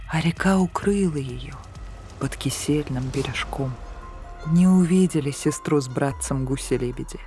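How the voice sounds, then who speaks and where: Female storyteller, hushed and slow, soft music under. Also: sits low. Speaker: audiobook narrator. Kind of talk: scripted fairy-tale narration. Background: soft background music.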